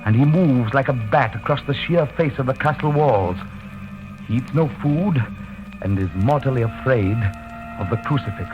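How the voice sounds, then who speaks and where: A man's voice: medium pitch, animated, moderate pace, close to an everyday voice. Guy acting scared young english prisoner telling his diary, old radio play, music behind, dull sound. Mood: haunted fear. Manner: earnest, dramatic, haunted. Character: frightened young Englishman, captive, earnest diarist. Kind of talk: 1930s radio horror acting. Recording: muffled vintage radio recording.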